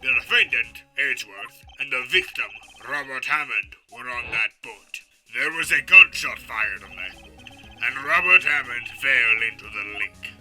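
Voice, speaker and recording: A stern, scornful older prosecutor acted by a man for a courtroom game, with an extremely sharp, forceful edge at a medium pitch. He speaks imperiously and with condescension, over faint music. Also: low-quality recording. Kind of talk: fan-dub visual novel voice acting.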